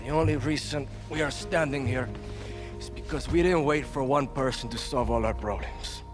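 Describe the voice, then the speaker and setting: An actor playing a tough, passionate young guerrilla in a game, blunt and earnest. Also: male voice, mid-range voice, normal speed, lightly acted. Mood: firm, resolute. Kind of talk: dramatic video game acting. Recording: loud music behind.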